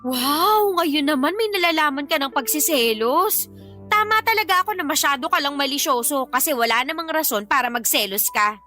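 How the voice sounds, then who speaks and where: A defiant young Filipina mother in a radio drama. Her voice is high and very animated, full of sarcasm and scolding. Faint music plays underneath. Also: normal speed. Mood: indignant, sarcastic.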